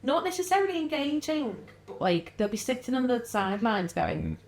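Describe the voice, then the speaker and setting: A woman with a mild Liverpool-area English accent, a podcast guest chatting casually, acting out what other people say with lively pitch jumps and frequent laughs. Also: moderate pace. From Liverpool area, England. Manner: animated, acts out quoted voices, frequent laughs. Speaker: guest, wellness business founder.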